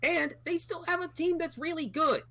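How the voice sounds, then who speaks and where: A thirty-year-old podcast co-host in casual sports chat, chatty and trailing off into low asides. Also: moderate pace, sharp tone, dry recording.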